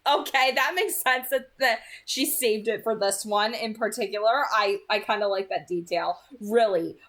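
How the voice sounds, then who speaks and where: A woman's voice: medium pitch, moderate pace, fairly bright tone. A woman chatting casually as she gives book commentary, rambling and emphatic, often repeating phrases for stress. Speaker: read-aloud channel host giving commentary.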